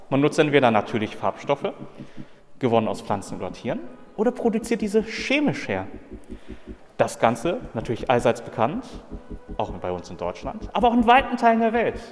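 A man's voice: medium pitch, a little mellow, long reverb tail. Man teacher with wildly swooping voice. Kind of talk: scripted school presentation. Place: school corridor, indoors. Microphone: handheld furry mic.

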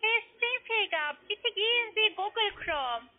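A woman with a foreign accent, not a native speaker, working as a call centre agent, pressing and repetitive in halting sentences. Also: extremely high pitch, animated. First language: not German. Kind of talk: phone sales call. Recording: muffled narrow phone line.

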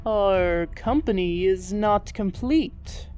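A woman playing an imperturbable, stern angel war leader who speaks formally and deliberately. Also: medium pitch, darkish tone, lightly acted. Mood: stern, impassive. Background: background music.

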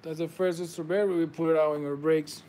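A man's voice: on the high side, steady tone, normal speed, warm tone, a little hiss or hum. A man, whose first language is Spanish, hosts a live trading card break, calling out players and congratulating viewers in slightly non-native phrasing. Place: indoor table with card boxes.

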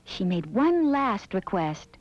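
A woman's voice: medium pitch, very animated, slow pace, dry recording. A woman storyteller reading scripted narration for a children's fairy tale. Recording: dull lo-fi soundtrack with music behind. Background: background music.

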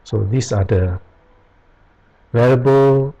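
Slow, halting male tutor. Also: medium pitch, dark tone, lo-fi sound. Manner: slow, halting, reads aloud with pauses and restarts. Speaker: programming tutor. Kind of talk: screen-recorded tutorial reading from web pages. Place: at a computer, voice-over on screen capture.

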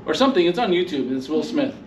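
A man's voice: fairly high pitch, big pitch swings, fast, darkish tone. A man being interviewed, chatting casually and hesitantly. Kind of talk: casual chat. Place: backstage dressing room. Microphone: camera across the room. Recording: dull, echoey, noisy distant camera mic.

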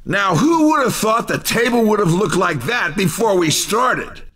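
High-pitched, exuberant racing game commentator. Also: male voice, lively intonation, unhurried, fairly bright tone, distant mic, full character voice. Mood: excited, celebratory. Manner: punchy, theatrical, emphatic delivery. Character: exuberant male race commentator, upbeat showman. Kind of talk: excited arcade racing game announcing.

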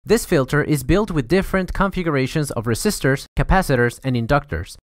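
Young guy narrating a scripted tutorial, slightly high pitched, kinda formal step by step, dry recording. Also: lively intonation, fairly quick, dry recording. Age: young adult. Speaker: host of an educational audio series.

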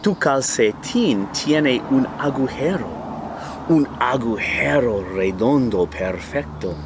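A middle-aged man reading a children's picture book aloud outdoors in a slow, animated, theatrical way. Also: mid-range voice. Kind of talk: expressive children's read-aloud in character voices. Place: outdoors in a fenced backyard. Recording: outdoor ambient noise.

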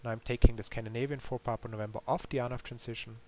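A man's voice: medium pitch, fairly even intonation, very fast. A man giving a matter-of-fact, explanatory tutorial voice-over for a simulator game. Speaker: developer demonstrating a simulator game. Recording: clear background hiss.

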